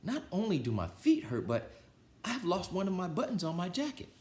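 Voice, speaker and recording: A man's voice: high-pitched, huge pitch swings, moderate pace, a little mellow, reverberant, slight background noise, pitched above the performer's own voice. A man voicing a grumpy, easily exasperated male toad from a children's storybook, exclaiming and wailing his complaints. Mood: exasperated, angry.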